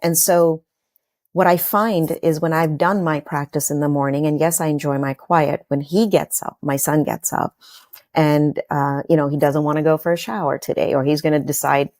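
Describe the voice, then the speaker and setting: A woman's voice: deep voice, steady tone, normal speed, a little mellow, no echo, clean studio sound. A woman in her forties from Kenya, a podcast guest telling her personal story in a reflective, earnest way with frequent fillers and restarts.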